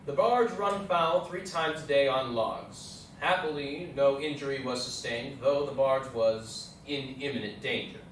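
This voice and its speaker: A man's voice: mid-range voice, a little slow, few pauses, close to an everyday voice. Male actor as young explorer-captain, studious and formal, readers theatre; far from the mic in a very echoey space. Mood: matter-of-fact. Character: explorer Meriwether Lewis, studious young army captain of twenty-eight. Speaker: local stage actor. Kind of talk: readers theatre, formal reading of letters and journals. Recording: very echoey room, distant mic, faint noise.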